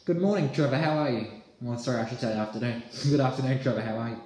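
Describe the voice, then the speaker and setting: A young Australian man makes casual, chatty ham radio conversation with plenty of operator jargon. His voice is mellow and fairly low. The room is very echoey, recorded on a phone held at arm's length. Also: fast talker. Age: young adult. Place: small indoor room. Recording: roomy echo, slight hiss.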